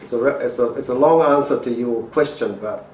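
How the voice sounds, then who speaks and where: A man's voice: mid-range voice, darkish tone. Older man from Fiji, rotary organizer, casual chat, roomy echoey room, distant mic. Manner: unhurried, hesitant with fillers. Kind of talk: casual interview answers. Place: living room indoors. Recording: roomy echo, distant mic, faint noise.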